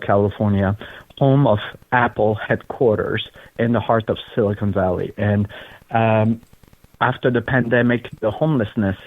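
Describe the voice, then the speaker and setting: A middle-aged man with a French accent, from Belgium, telling his story in an interview with earnest, unhurried pacing. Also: lowish voice, dry recording. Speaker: guest, charity founder. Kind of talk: interview conversation. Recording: tinny phone-like line.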